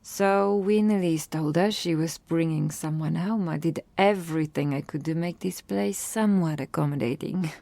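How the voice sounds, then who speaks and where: A woman playing a gracious, guarded vampire who speaks formally and softly in an ASMR roleplay. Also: deep voice, normal speed, vocal fry, hardly any pauses, dry recording. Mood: gracious, teasing. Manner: formal, soft-spoken, gently teasing.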